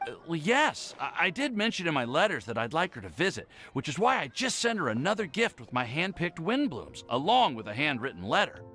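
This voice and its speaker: A man's voice: a little high, very animated, a little fast, few pauses, sharp tone. An actor playing an earnest, lovestruck young man full of self-doubt in a video game, speaking formally and hesitantly. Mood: earnest, flustered.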